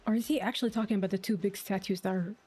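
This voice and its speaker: Casual woman gamer, mid-pitched, musing aloud. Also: steady tone, racing, background noise. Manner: reactive, musing aloud in questions. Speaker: game streamer. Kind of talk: casual live gameplay commentary.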